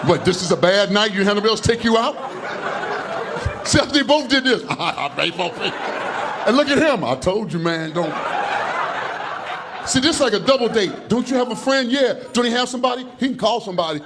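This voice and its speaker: Animated high-voiced comedian on stage. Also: male voice, brisk, long pauses, heavy background noise. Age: 50s. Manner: loud, animated, slangy storytelling with heavy gestures. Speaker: stand-up comedian. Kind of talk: stand-up comedy routine. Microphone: handheld mic. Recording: live club recording with audience laughter. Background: audience laughing.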